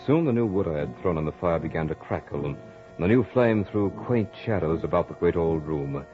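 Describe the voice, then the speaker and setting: A man playing a studious, self-assured young gentleman, telling his story in a measured, literary way in the style of 1940s radio drama. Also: low-pitched, expressive. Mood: contented. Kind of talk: 1940s radio drama acting and first-person narration. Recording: dull old radio recording, music behind.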